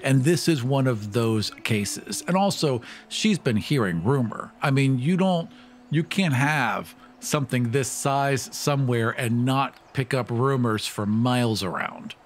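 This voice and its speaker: Male tabletop player, medium pitch, casual explanatory chat, slightly wry. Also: a little slow, a little hiss or hum.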